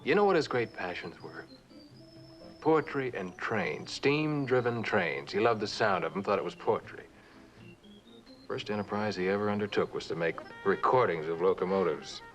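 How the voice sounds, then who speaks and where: An actor playing an anxious, insistent professor in an earnest, pressing manner, in a vintage suspense drama. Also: male voice, fairly low pitch, lively intonation, normal speed, faint music behind, close to an everyday voice. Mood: reminiscing. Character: insistent, anxious professor seeking an old college friend. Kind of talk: mid-20th-century suspense drama acting.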